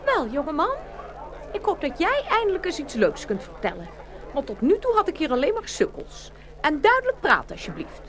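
A woman's voice: high voice, wildly swooping pitch, fairly quick, a bit bright, some chatter behind, full character voice. A woman playing an impatient, imperious young princess in a fairy-tale audio play. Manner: imperious, dismissive. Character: haughty, impatient young princess choosing a suitor.

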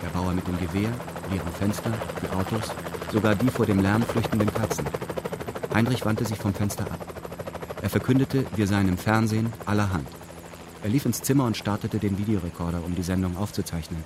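A male narrator reads a scripted radio drama in a fairly low voice. His delivery is formal and matter-of-fact. Clear ambient noise runs behind him. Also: a little fast.

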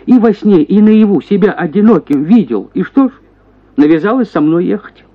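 An actor voicing a henpecked prosecutor, a self-pitying husband who grumbles and exclaims theatrically in a radio story. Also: male voice, high-pitched, moderate pace, narrow, tinny audio, pitched above the performer's own voice. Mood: exasperated complaining. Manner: plaintive grumbling that bursts into excited exclamations.

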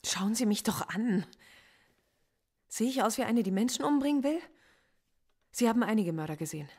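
A woman's voice: fairly high pitch, lively intonation, moderate pace, a little mellow, husky. An actress playing a woman philosophy professor under interrogation in a radio drama, pleading and incredulous.

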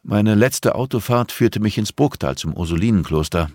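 A man voicing a composed, middle-aged blind teacher in an audiobook, patient and measured, with a dryly pointed way of explaining. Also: fairly low pitch, animated, darkish tone, dry recording, clean studio sound, voice pushed up. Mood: calm, reflective. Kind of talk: audiobook character dialogue.

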